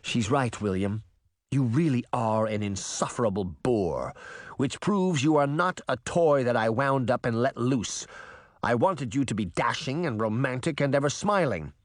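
A man voicing a sharp-tongued, weary wicked queen in a storybook reading, scolding and emphatic. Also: mid-range voice, expressive, normal speed, dry recording, studio quality, natural voice. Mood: exasperated.

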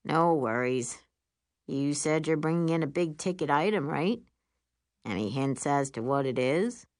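A woman voicing a cool, easygoing teenage boyfriend with a deep, manly tone in an audiobook. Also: lively intonation, measured pace, dry recording, clean studio sound. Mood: calm, reassuring. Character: laid-back, deep-voiced teenage boyfriend, reassuring.

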